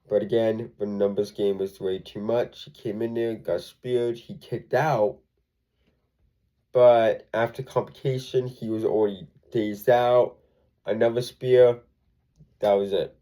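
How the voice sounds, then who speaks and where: A man's voice: lowish voice, mellow, poor audio. A young man with a congested voice, rambling through a casual recap full of ums and self-corrections. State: congested from a cold. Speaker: wrestling show reviewer.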